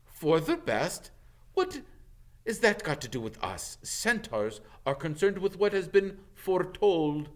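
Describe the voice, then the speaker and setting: A stern, indignant centaur, performed by a man reading a storybook. The voice is pitched high and swings widely, declaiming loudly with proud scorn. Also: sing-song, a little slow. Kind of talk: dramatic audiobook character reading.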